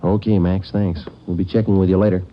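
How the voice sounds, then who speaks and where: Actor as a low, deadpan American police detective. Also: male voice, fairly quick, natural voice. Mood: businesslike. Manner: clipped, matter-of-fact, deadpan. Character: dedicated, terse male police detective sergeant, narrating his cases. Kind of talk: 1940s-50s radio drama acting and narration. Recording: dull vintage radio recording, dramatic music.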